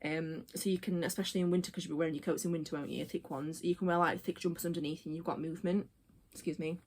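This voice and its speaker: A woman's voice: fairly low pitch, fairly even intonation, fast. A woman, chatting casually in a personal vlog, rambling with many fillers and tangents. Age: 20s. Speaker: vlogger.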